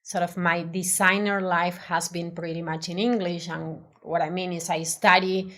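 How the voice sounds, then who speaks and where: Spanish-accented woman, low-pitched, hesitant. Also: flat delivery, normal speed, a bit bright. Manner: hesitant, many restarts and fillers, thoughtful. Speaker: design professional, podcast guest. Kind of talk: casual interview conversation.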